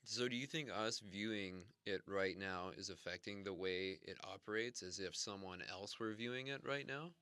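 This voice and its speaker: A man's voice: on the low side, moderate pace, few pauses, sharp tone, clean tone, no room sound. A man improvising comedy banter, asking curious, playful questions.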